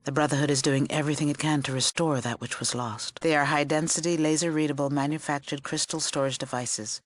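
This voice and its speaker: A woman's voice: deep voice, steady tone, brisk, dry recording, natural voice. A middle-aged woman playing a stern, learned scribe in a video game, with a formal, aloof and curt manner. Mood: solemn. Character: stern, learned female scribe of a post-war order, aloof. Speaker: game character. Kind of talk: video game character dialogue.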